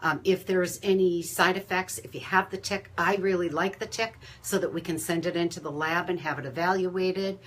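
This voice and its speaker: A woman hosting a healthcare talk, reading aloud and chatting casually with steady noise behind her. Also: lowish voice, normal speed, few pauses. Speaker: host and healthcare provider. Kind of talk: reading a rhyming story aloud, then casual live chat.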